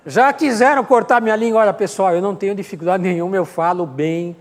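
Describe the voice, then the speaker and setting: A man's voice: high-pitched, fast. An older man with a Brazilian accent teaches an informal Bible class in a didactic way, often posing questions and answering them himself. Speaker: Sunday school teacher. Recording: long hall reverb.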